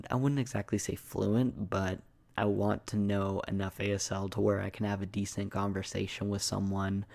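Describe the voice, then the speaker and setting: Low, flat, creaky young man's ASMR voice. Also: no room sound. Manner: casual, hesitant, meandering, frequent "like". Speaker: ASMR video creator. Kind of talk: soft-spoken ASMR rambling.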